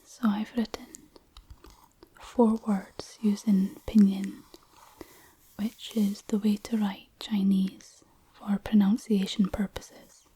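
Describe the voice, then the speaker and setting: A woman gently giving a whispered ASMR language lesson as a tutor, unhurried and instructional. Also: medium pitch, flat delivery, a little hiss or hum, rough recording.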